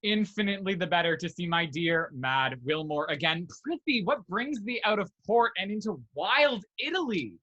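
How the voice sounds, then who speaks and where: An actor playing a worldly, wry young gentleman in a Restoration comedy, boasting drily in period phrases. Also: high-pitched, expressive, normal speed, hardly any pauses, dull audio, heavily acted. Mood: friendly. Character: worldly, cynical, wry young English gentleman, womanizing friend. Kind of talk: Restoration comedy stage acting.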